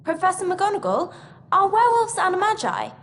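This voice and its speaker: A woman's voice: very high-pitched, sing-song, a little fast, a little hiss or hum. A woman playing a keen, studious young schoolgirl witch in a video game, with an earnest manner. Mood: eager, earnest. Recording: game audio under loud music.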